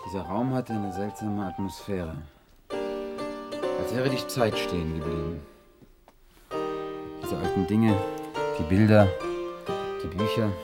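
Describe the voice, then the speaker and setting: A man's voice: low voice, slow, pauses often, loud music behind the voice. An actor playing an earnest, methodical male investigator in a German radio play, speaking formally, precisely and insistently. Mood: quiet, reflective.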